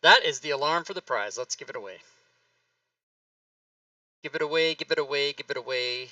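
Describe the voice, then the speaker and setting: Middle-aged stream host, bright tense voice, dry sound but a bit off mic. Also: male voice, a little high, animated, moderate pace, no room sound, distant mic, dull audio. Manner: friendly, reads out viewer names and thanks followers. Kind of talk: casual livestream hosting.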